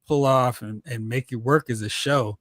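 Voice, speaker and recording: A man hosting a casual panel chat in a slangy, animated way. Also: medium pitch, normal speed, no echo, studio quality. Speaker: podcast host. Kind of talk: casual panel chat about pop culture.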